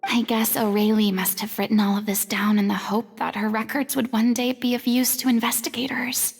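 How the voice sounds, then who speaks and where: A woman's voice: fairly high pitch, little pitch movement, a little fast, vocal fry, husky, in a large hall. A woman voicing a young, theatrical heroine in a video game, speaking in a reflective, dramatic way. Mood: solemn. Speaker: game character.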